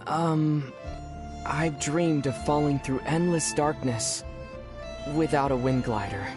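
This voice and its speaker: An actor playing a gentle, teasing young male adventurer in a video game, speaking in a measured, wry way. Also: a little high. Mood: reflective. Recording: music behind the voice.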